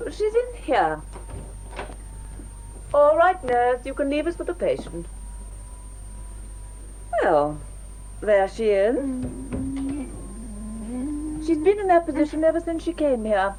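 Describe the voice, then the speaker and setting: Female doctor, British, clinical and talkative; highish voice, very animated intonation, radio drama. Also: slow, pauses often, heavily acted, rough recording. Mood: brisk, detached. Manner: clinical, matter-of-fact, articulate.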